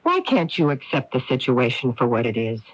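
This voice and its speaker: An actress playing a bitter middle-aged woman who is coolly threatening, sardonic and deliberate, in a radio mystery drama. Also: a bass voice, big pitch swings, no room sound, exaggerated voice. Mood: cold menace. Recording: dull, lo-fi 1980s radio broadcast.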